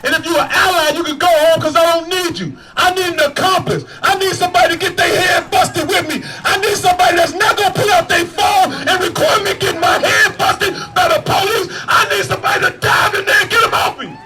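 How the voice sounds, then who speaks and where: A man running for office, giving a fiery shouted protest speech at a rally with a repetitive, preacher-like cadence. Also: extremely high pitch, fairly even intonation, a little fast, hardly any pauses, faint music behind. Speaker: political candidate at a rally.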